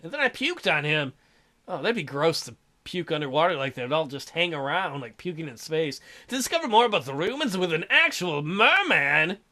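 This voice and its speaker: High-pitched man, irreverent gaming commentary. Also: pushed and bright. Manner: irreverent, crude joking, mocking. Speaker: gaming video host, let's player. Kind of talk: reading visual novel aloud with joking commentary.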